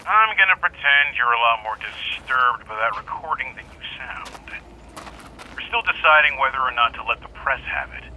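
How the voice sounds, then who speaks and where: An actor playing a male police detective who talks on the phone in a flat, guarded, matter-of-fact way. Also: medium pitch, moderate pace, a little hiss or hum, rough recording. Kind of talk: audio drama acting.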